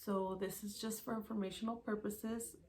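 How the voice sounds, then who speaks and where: A young woman speaking straight to her viewers in a casual vlog, telling things in order and pausing on small fillers as she checks her notes. Her voice sits in a middle range, with a faint hiss of background noise under it. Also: mid-range voice, flat delivery, darkish tone. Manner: chatty, recounts day by day with fillers, reads from notes. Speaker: YouTuber.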